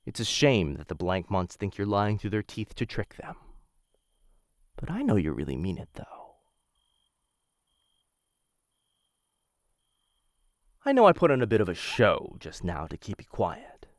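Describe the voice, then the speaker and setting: A man playing a menacing, infatuated assassin in a boyfriend ASMR roleplay, speaking low, hushed and intimate into a close microphone, teasing and often slipping into whispers. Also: big pitch swings, moderate pace, no echo. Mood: tender, infatuated. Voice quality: low, gravelly.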